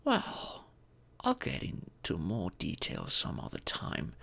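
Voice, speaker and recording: Male actor as stately, kindly dragon parent for audio roleplay: formal diction, unhurried delivery, expressive swings in pitch. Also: creaky. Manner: formal, measured, theatrical, unhurried. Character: gentle, stately dragon adopting a sacrificed child. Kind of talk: audio roleplay addressed to the listener.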